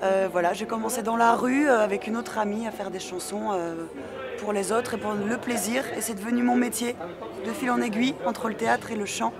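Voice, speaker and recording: A woman's voice: fairly high pitch, a little fast. A young woman singer and accordionist, talking casually with fillers in a festival interview. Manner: relaxed, hesitant with fillers. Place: outdoor street festival. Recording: loud crowd behind.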